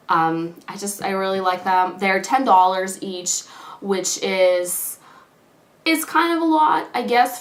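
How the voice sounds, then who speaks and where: A woman with a slightly hoarse voice from a cold, chatting casually to camera in a product review, gushing and full of fillers. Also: fairly low pitch, fairly bright tone, roomy sound. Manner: chatty, gushing, many fillers like "like" and "you know". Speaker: beauty vlogger.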